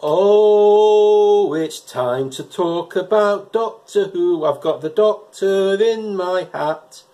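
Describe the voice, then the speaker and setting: A man's voice: high-pitched, mellow. Chatty middle-aged man with a head cold. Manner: chatty, halting with repeated words. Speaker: vlogger reviewing episodes. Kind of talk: casual personal vlog talk. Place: living room indoors, and a car park outdoors at night.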